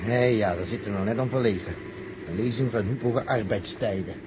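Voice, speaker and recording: An actor playing a suspicious, commanding space captain in a 1960s Dutch radio drama, clipped and confrontational. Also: male voice, on the low side, brisk, noisy background, natural voice. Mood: brisk.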